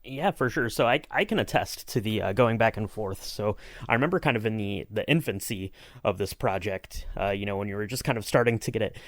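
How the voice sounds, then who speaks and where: A man with a fairly low voice speaks in a very dry, echo-free recording. He chats as a podcast co-host, easygoing and a little rambling. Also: fairly quick. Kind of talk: casual conversational chat.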